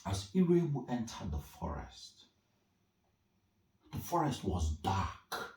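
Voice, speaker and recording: Low voiced guy from nigeria telling a folktale, slow n dramatic, echoey and a bit far from the mic. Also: sing-song, a little mellow. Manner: theatrical, with suspenseful pauses and emphasis. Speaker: storyteller. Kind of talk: dramatic oral storytelling of a folktale. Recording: echoey room, distant from the mic.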